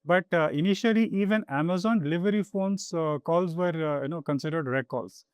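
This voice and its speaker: A man's voice: a little high, a little fast, clear, resonant tone, no echo. A man with an Indian accent chatting casually as a podcast host, switching between Hindi and English in a wordy, hesitant way.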